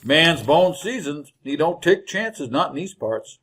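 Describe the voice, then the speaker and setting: An actor playing a garrulous, folksy wagon driver in a radio western, with an American Western accent and colorful rustic slang in a husky voice. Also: male voice, on the high side, very animated, a little slow, background noise. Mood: wary. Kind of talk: old-time radio western acting.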